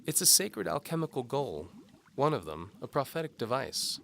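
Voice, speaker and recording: A man's voice: mid-range voice, big pitch swings, normal speed, creaky, a bit bright, a little hiss or hum, pitched above the performer's own voice. A man voicing an earnest, humble young woman, an alchemist's apprentice, in an audio drama. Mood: explaining. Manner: earnest, deferential, instructive.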